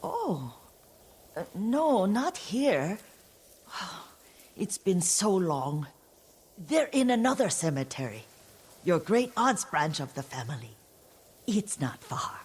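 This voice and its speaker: Slow, soft-voiced elderly lady. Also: on the low side, big pitch swings, husky, heavily acted. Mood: wistful, fond. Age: older. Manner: slow, tender, reflective. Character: elderly, gentle, wistful woman, devoted caretaker to a lord. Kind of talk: dramatic video game acting.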